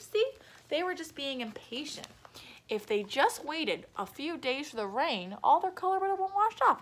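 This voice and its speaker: Animated young woman reading to children. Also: fairly high pitch. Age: young adult. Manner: animated, explanatory. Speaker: picture book reader. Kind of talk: children's read-aloud with asides to young listeners. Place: small room at home.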